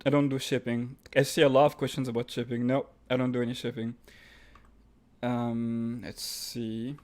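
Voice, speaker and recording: A man from Egypt with an Egyptian accent, hosting a live stream and reading out viewer questions, then answering them offhand. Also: medium pitch. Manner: reads questions aloud then answers offhand, with fillers and restarts.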